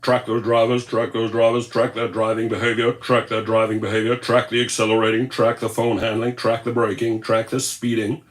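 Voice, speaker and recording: A man's voice: medium pitch, fairly quick, few pauses. A man from the United States hosting a rideshare channel, giving casual, sarcastic commentary and repeating his points for emphasis. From USA. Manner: animated, sarcastic, repetitive. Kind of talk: casual commentary on screen text.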